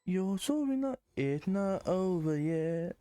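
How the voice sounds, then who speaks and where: High-pitched, playful male gamer. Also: lively intonation, slow pace, mellow, smooth. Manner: joking, playful. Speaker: gaming video host. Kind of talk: casual playthrough reactions.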